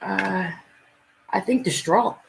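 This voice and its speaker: A woman speaking casually and haltingly as a psychic reader in a live chat, often repeating her phrases. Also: mid-range voice, vocal fry, noisy background.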